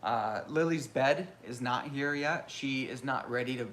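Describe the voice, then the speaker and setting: Man, thirties, loud voice, rambling, self-mocking, casual live chat, faint noise. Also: medium pitch, unhurried. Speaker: channel host.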